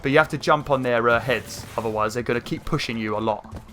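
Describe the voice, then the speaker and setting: Man, croaky, rambling gameplay chat, lots of fillers, faint game music. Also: mid-range voice, quick pace. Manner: rambling, chatty, many fillers, excited outbursts. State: croaky throat. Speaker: gaming channel host. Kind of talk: casual gameplay commentary.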